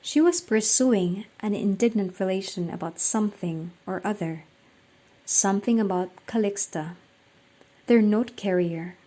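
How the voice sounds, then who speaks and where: A woman's voice: mid-range voice, measured pace, warm tone. A woman reading scripted story narration for a radio drama.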